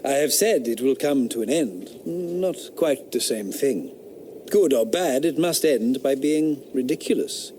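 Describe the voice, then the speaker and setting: An actor playing a sardonic, rationalist eighteenth-century philosopher in a radio drama, speaking in a declamatory, scornful manner. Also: male voice, medium pitch, expressive, unhurried, mellow, slight background noise, natural voice. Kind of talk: period radio drama acting.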